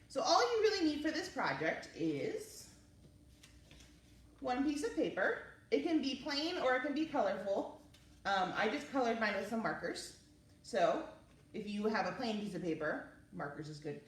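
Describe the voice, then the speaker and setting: A woman hosting a children's story time and craft session, speaking casually and encouragingly as she talks young children through each step. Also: mid-range voice. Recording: roomy, distant mic with faint noise.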